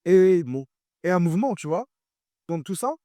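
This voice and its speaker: A young man with a French accent talks in a relaxed, mellow tone, his voice set a little higher than most men's. He leans on repeated phrases to drive his points home. The sound is close and dry. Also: moderate pace, no room sound. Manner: animated, repeats phrases for emphasis. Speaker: guest artist and producer. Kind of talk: casual interview chat.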